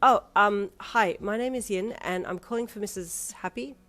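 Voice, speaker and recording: A woman's voice: medium pitch, moderate pace, fairly bright tone, faint music behind, voice pushed up, close to an everyday voice. A woman voicing a young heroine who gets easily flustered and exasperated. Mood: nervous.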